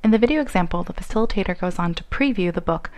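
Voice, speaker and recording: A woman reading scripted instructional narration in a clear, explanatory way. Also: medium pitch, animated, rapid-fire, a little mellow. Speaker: narrator of a training video.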